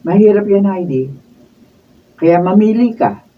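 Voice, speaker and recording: An actress playing an indignant middle-aged aunt in a Filipino radio drama, husky and urging. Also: female voice, medium pitch, measured pace. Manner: urging, emphatic. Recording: muffled, distant mic.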